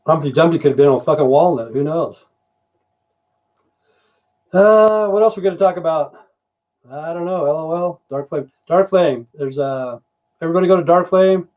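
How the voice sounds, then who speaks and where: A man's voice: fairly high pitch, moderate pace, long pauses, darkish tone. A middle-aged man from Idaho, rambling and swearing through a casual livestream with frequent "you know" fillers. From Idaho, USA. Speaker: livestream host.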